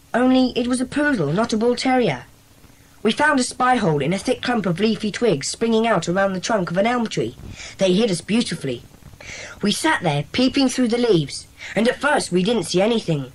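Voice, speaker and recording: Bossy young club leader, animated. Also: female voice, mid-range voice, slightly rough, rough recording. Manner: commanding, brisk. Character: Peter, bossy brisk schoolboy club leader. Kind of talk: dramatised children's audiobook acting.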